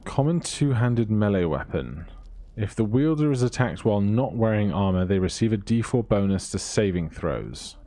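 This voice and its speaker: A man's voice: lowish voice, expressive, normal speed, dark tone. A man giving casual let's play commentary, muttering through items with dry humour.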